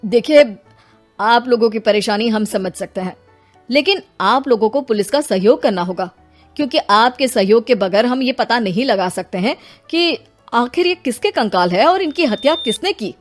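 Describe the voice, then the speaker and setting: A woman playing a calm, authoritative senior police officer in an acted story. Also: fairly high pitch, normal speed, soft background music, natural voice. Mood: calm, official. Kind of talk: acted Hindi audio story dialogue.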